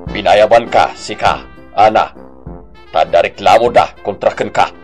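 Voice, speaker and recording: A man playing a serious, deliberate village official with an Ilocano accent in a radio drama. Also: lowish voice, music in the background, close to an everyday voice. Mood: stern. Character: stern, measured village captain handling a complaint.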